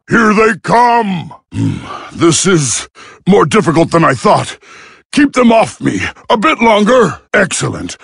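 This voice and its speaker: A gruff robot field medic from a war video game. The voice is husky and gravelly, recorded dry and close. He gives orders and battle reports with urgency and a dry, sardonic edge. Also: high voice, a bit bright, no echo, heavily acted. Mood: urgent, strained.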